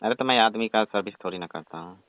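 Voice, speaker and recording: A man's voice: lowish voice, fast, no echo, natural voice. A man playing a reluctant, exasperated young village electrician, grumbling his refusals in a comic phone skit. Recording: tinny, dry phone-call recording.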